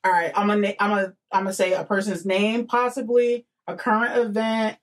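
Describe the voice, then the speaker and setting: A woman's voice: medium pitch, level tone, normal speed. A young woman hosting a casual podcast interview in slangy, informal speech on a studio microphone. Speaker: podcast host. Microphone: boom-arm studio microphone with headset.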